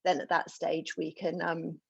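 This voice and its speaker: Husky low woman hosting a video call. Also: little pitch movement, measured pace, no room sound. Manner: warm, chatty, with frequent um fillers. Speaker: host and moderator. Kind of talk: online panel introduction. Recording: dull lo-fi video call audio.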